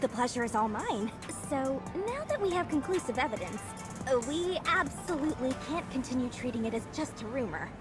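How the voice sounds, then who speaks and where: A woman playing an eager, confident young female mechanic in an anime game, with a bubbly, excitable, slightly flustered manner. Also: high voice, a little fast. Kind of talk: video game character acting. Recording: music behind the voice.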